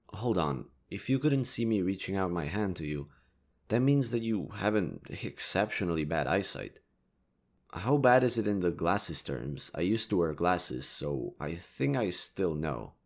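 Man playing a kind young stranger, low voice, lively intonation, reassuring, slightly hesitant, intimate audio roleplay. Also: measured pace, exaggerated voice. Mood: concerned, curious. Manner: gentle, considerate, hesitant. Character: kind, reassuring young male stranger helping a distressed girl.

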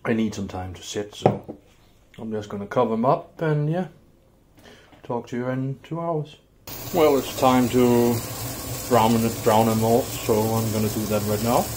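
A man casually narrating a home cooking vlog in a laid-back, somewhat halting way. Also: medium pitch, noisy background. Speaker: home cook, video host. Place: indoors in a home kitchen.

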